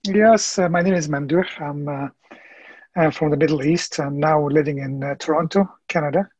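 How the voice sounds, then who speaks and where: A man, a ministry leader talking in a halting, earnest way with many fillers as a podcast guest. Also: on the high side, darkish tone, breathy edge, dry recording, rough recording. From Egypt. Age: late 50s. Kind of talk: casual interview conversation.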